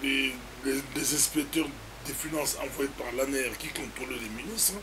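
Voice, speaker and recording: A man's voice: medium pitch, steady tone, normal speed, background noise. A male interviewer host recounting events and posing questions with hesitant fillers.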